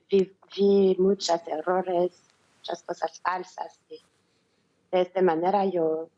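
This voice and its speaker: Halting woman guest on a dull remote line. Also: fairly low pitch. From Belgium. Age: 30s. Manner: halting, simple phrasing, repeats words. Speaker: interview guest. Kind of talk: remote interview.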